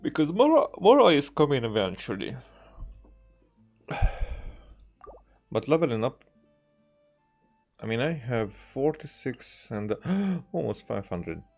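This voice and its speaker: A man's voice: medium pitch, big pitch swings, slow, long pauses, darkish tone, faint music behind. A man doing casual live game commentary, hesitant and thinking aloud as he goes. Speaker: video game streamer.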